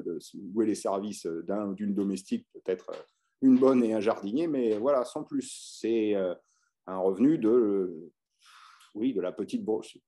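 A man gives an evening history lecture, speaking off the cuff in a mellow, darker voice. He hesitates a lot, filling pauses and restarting phrases as he explains. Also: lowish voice. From France. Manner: hesitant, many filler sounds and restarts. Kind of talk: unscripted illustrated history talk.

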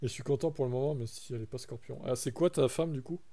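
A man's voice: medium pitch, quick pace, dark tone. A young man from France chatting casually on a live stream, reacting to his chat and reading text aloud.

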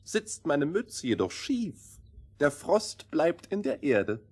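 An actor playing a quirky frost-maker in a children's fairy-tale play, speaking husky rhyming verse. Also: male voice, on the high side, very animated, slow pace, a little mellow, full character voice. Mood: quirky, proud. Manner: sing-song rhyming verse. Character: quirky frost-making man who speaks in rhyme. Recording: music faintly behind the voice.

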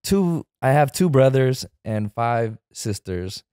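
A man in his thirties from the San Francisco Bay Area, chatting as a guest actor in a relaxed interview, full of fillers and restarts. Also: mid-range voice, slow, clean tone, no room sound, clean studio sound. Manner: hesitant, many fillers and restarts. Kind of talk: casual interview chat. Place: living room with sofa. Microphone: studio mic on arm.

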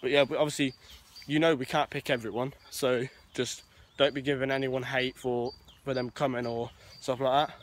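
A man's voice: medium pitch, level tone, moderate pace, hardly any pauses. A young man speaks straight to his audience in a loose, chatty way while walking through woods. His phrasing is casual and slangy, the sound caught by a phone held nearby outdoors. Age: young adult. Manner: chatty, addresses viewers directly, nonstandard grammar. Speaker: vlogger. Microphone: friend's handheld phone.

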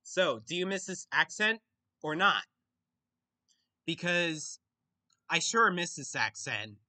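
A young Australian man putting on an American accent for his loud, upbeat old-style vlog intro. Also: fairly high pitch, big pitch swings, slow pace, exaggerated voice. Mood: peppy. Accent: American, put on. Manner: loud, peppy intro delivery.

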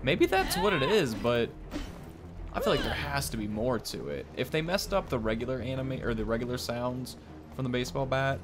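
A man's voice: mid-range voice, very animated, moderate pace, music in the background. A young man giving casual video game commentary as a host. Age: young adult. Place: indoor room, at a desk. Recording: clear game noise behind. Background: video game ambience.

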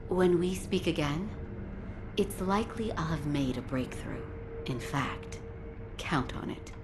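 A woman playing a composed, gentle physician in a video game, soft-spoken, formal and quietly wry. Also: low-pitched, expressive, measured pace, background noise. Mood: serious, thoughtful.

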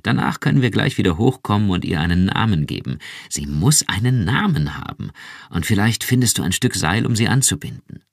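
A man's voice: low voice, lively intonation, slow pace, dry recording, studio quality, heavily acted. A man voicing an earnest young wife who pleads insistently and fervently.

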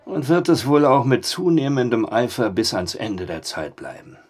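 An actor playing a sceptical barkeeper with a husky voice and a dry, teasing manner in a German audio drama. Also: male voice, medium pitch, big pitch swings, moderate pace, darkish tone, faint music behind. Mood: dry, musing.

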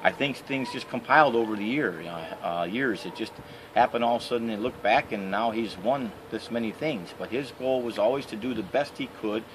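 A middle-aged man with a Michigan accent, answering interview questions outdoors in a halting way with many fillers. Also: on the low side, noisy background. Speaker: interviewee, canoe racer. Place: outdoors by trees and a house.

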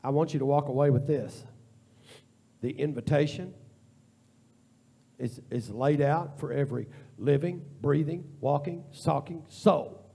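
Gravelly, high-pitched preaching man. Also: a little slow, pauses often. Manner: impassioned preaching that rises to near-shouting, then drops to a quiet prayer; informal, repetitive cadence. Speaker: pastor. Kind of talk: sermon. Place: church sanctuary.

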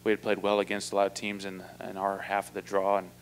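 Flat-voiced coach at a postgame podium. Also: male voice, low voice, a little hiss or hum, poor audio. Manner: measured, flat delivery with many fillers and restarts. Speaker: basketball head coach. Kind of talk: postgame press conference answers. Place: indoor press room. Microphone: table mic in front.

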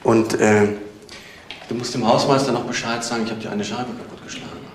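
An actor playing a weary, hard-drinking man turned burglar, terse, hesitant and low-key, in a German crime drama. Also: steady tone, fairly quick, natural voice. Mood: subdued, hesitant. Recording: noisy drama soundtrack with ambience.

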